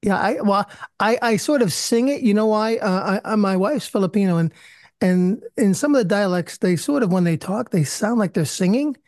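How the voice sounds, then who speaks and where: Male host on a video call, relaxed and rambling, dry close sound. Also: sits high, normal speed, dry recording. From US Northeast. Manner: friendly, rambling, self-deprecating. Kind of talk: live-stream prayer and chat.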